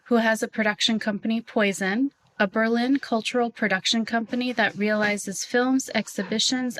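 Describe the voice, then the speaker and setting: A woman's voice: a little high, fairly bright tone. A woman hosting a podcast interview in a conversational style.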